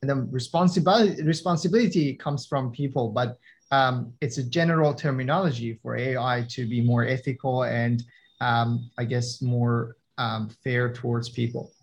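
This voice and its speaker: A man's voice: medium pitch, steady tone, normal speed. Hesitant non-native man, full of ums. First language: not English. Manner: hesitant, many fillers and restarts. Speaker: guest, tech entrepreneur. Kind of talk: conversational interview.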